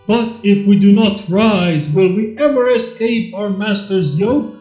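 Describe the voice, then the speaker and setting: Guy doing a hammy robot thespian, big slow declaiming, anguished soliloquy, muffled roomy sound. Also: high voice, a little mellow, faint music behind. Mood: grandiose, questioning. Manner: grandiose, declamatory stage delivery. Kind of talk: Shakespearean stage monologue in a fan fiction reading.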